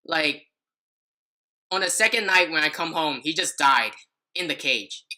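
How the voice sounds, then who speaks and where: A woman's voice: deep voice, measured pace, sharp tone. A young adult woman telling a personal story in casual podcast chat, rambling and often stumbling over her words.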